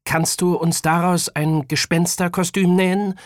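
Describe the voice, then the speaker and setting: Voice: medium pitch, animated, a little slow, no echo, studio quality, pitched above the performer's own voice, close to an everyday voice. A storyteller voicing an earnest, plucky small schoolboy in a children's audiobook. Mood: eager.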